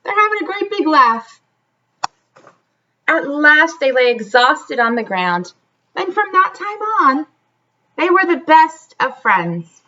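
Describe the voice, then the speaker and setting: A woman's voice: sits high, big pitch swings, slow pace, long pauses, bright, forceful tone, lo-fi sound. A woman reading a children's story aloud expressively, like a teacher, adding chatty asides straight to her young listeners.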